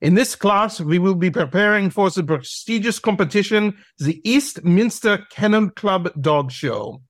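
Man playing a bossy, pompous German Shepherd instructor, measured. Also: high-pitched, no room sound, studio quality. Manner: imperious, declamatory. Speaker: actor in an audio play. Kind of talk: theatrical audio drama acting.

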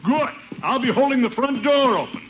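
An American actor playing a blustering, excitable middle-aged householder in a theatrical 1950s radio comedy style. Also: male voice, extremely high pitch, moderate pace. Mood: smug, defiant. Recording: muffled, faintly noisy 1950s radio.

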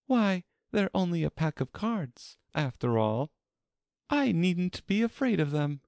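A man's voice: high-pitched, sing-song, unhurried, creaky, a little mellow, no room sound, voice pushed up, exaggerated voice. A man voicing a polite but bold and decided little girl in a storybook.

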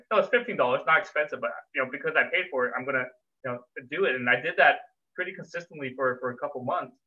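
Fast-talking man over a tinny call line. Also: fairly high pitch. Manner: quick, upbeat, conversational. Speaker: guest, career coach. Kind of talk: casual interview chat. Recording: tinny phone-like remote line.

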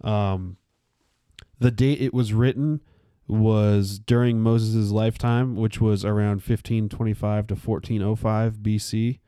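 A man in his late twenties co-hosting a casual Bible podcast, relaxed and slangy, explaining facts with many pauses. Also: lowish voice, smooth, no room sound. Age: 20s. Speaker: podcast co-host.

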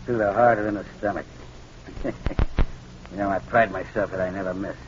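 An actor playing a menacing, gloating gangster with a mock-polite, leisurely manner in a 1940s radio crime drama. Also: male voice, deep voice, fairly even intonation, moderate pace, natural voice. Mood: smug menace. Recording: dull, noisy old radio recording.

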